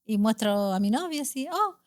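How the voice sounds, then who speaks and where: A woman's voice: fairly high pitch, lively intonation, normal speed, no echo. Host, woman, middle-aged, Chilean accent, conversational storyteller, dry studio sound. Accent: Chilean Spanish. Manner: chatty, storytelling, frequent tag questions. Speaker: podcast host. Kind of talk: casual conversational chat.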